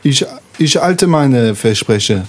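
A man's voice: mid-range voice, expressive, slow pace. A man chatting casually while improvising scenes in a tabletop roleplay game.

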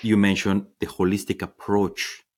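A man hosting a conversational interview, speaking haltingly and repeating words as he goes. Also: on the low side, little pitch movement. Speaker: podcast host.

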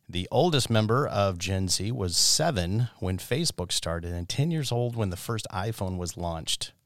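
Low-voiced middle-aged man hosting a podcast. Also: dry recording, clean studio sound. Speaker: podcast host and lay church elder. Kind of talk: podcast hosting, prepared introduction and interview questions.

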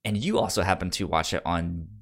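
A man's voice: deep voice, fairly quick. A man hosting a podcast in casual chat, upbeat and enthusiastic, sometimes stammering over his words. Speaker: podcast host.

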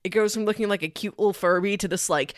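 A woman's voice: mid-range voice, quick pace, a little hiss or hum. A woman co-hosting a podcast, talking casually about films with a conversational, playful storytelling manner. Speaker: podcast co-host. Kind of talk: casual film discussion.

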